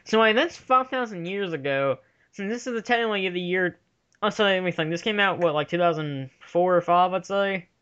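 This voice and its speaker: High-voiced flippant man, dull lo-fi sound. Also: brisk, pushed and bright, clean tone. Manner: crude, flippant, playful riffing. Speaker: co-host of a fan movie commentary. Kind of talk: casual joking banter. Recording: dull lo-fi voice track.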